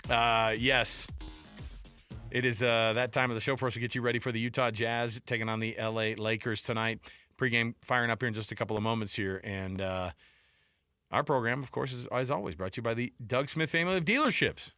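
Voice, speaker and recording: A man's voice: medium pitch, brisk, pushed and bright, dry recording, soft background music. A man hosting a sports talk show in a studio, informal and bantering, moving between chat and sponsor reads. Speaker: sports radio host. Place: radio studio. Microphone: close studio microphone.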